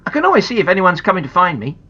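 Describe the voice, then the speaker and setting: A woman's voice: lowish voice, big pitch swings, very fast, bright, forceful tone, slightly rough, pitched above the performer's own voice, full character voice. A woman voicing an enthusiastic young boy sharing a plan, in a slightly dull recording. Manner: enthusiastic, persuasive.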